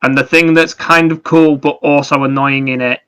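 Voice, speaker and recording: A man's voice: fairly high pitch, steady tone, normal speed, a bit bright, smooth. A man chatting casually about video games as a co-host on a podcast.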